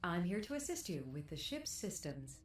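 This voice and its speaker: A woman's voice: lowish voice, big pitch swings, moderate pace. Woman voicing helpful game ship assistant: female, composed, clipped, formal, echoey and off-mic. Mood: composed, businesslike. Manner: clipped, formal system announcements. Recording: distant, echoey, noisy game audio.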